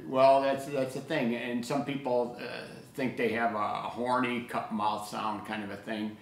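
Older guy just talking shop, kinda halting storyteller style, roomy echo, normal pitch. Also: little pitch movement. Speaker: co-host of an audio hobby show. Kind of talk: casual hobby discussion. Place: living room table indoors. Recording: echoey room sound.

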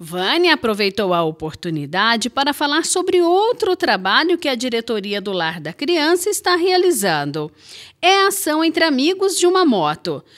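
A woman's voice: high voice, very animated, normal speed, a bit bright. A woman with a Brazilian Portuguese accent, reading a local TV news report as voice-over narration.

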